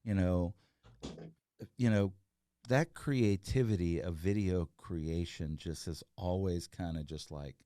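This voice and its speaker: Deep-voiced earnest man on a call. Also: slow pace, mellow, dry recording, clean studio sound. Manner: earnest, rambling, many fillers and restarts. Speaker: guest, entrepreneur. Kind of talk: casual interview chat.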